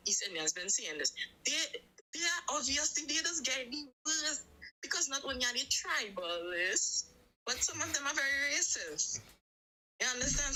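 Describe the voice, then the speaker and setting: Woman, Trinidad and Tobago accent, from Tobago, emphatic, bright tense voice. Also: a little high, low-quality recording. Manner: emphatic, repeats for stress. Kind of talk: casual chat on a live stream.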